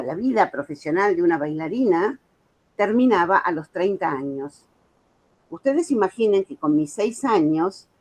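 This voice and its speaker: A woman's voice: fairly low pitch, darkish tone. A woman in her seventies with a Buenos Aires accent gives a prepared talk as a guest speaker, telling her story earnestly with informal asides. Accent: Argentine, Buenos Aires. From Argentina. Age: 70s. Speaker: guest speaker, author and music educator.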